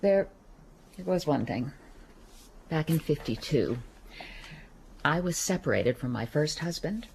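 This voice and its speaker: An actress playing a guarded middle-aged American woman who gives halting, reluctant testimony in a naturalistic radio drama. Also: sits low, lively intonation, vocal fry, darkish tone, a little hiss or hum, full character voice. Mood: reluctant, reminiscing. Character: guarded, weary American woman witness recalling an assault.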